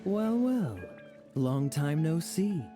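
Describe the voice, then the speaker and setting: An actor playing a sly, teasing knight captain in a video game, with a smooth, playful manner. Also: male voice, a little high, big pitch swings, slow pace, over music.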